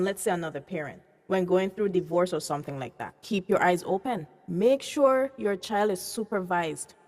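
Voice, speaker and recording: A woman's voice: mid-range voice, close to an everyday voice. Woman acting an emphatic woman doctor in a reverberant hall. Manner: emphatic, deliberate, repeating for emphasis. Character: earnest, weary woman doctor advocating for abused children. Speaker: doctor, conference speaker and radio host. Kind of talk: radio drama acting, public address.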